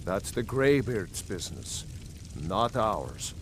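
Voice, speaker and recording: A man's voice: fairly low pitch, sing-song, slow pace, slight background noise, exaggerated voice. A man playing a dignified city ruler in a fantasy game, speaking in a formal, reflective, stately manner. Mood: firm, solemn. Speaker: voice actor in a fantasy video game.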